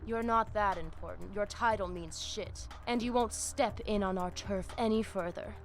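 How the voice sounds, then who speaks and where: A woman's voice: medium pitch, lightly acted. Woman playing a commanding vampire pack leader over music. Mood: scornful, taunting. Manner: measured, oratorical, with Spanish words mixed in. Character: proud, commanding female vampire war-pack leader, ceremonial and scornful. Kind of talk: video game voice acting.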